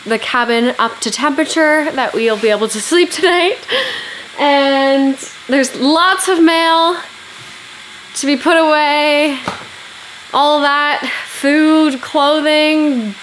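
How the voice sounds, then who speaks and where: A woman's voice: sits high, fairly bright tone, slight background noise, low-quality recording. A woman, talking casually and upbeat as a vlogger. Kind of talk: casual vlog talk to camera. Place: indoors in a wooden cabin.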